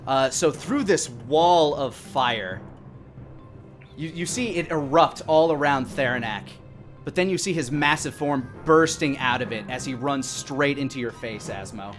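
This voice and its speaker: A man in his thirties narrating a tabletop game as game master, descriptive, with hesitant pauses. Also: mid-range voice. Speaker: game master and stream host. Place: indoor room at home, live stream. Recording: music playing behind the voice. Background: background music.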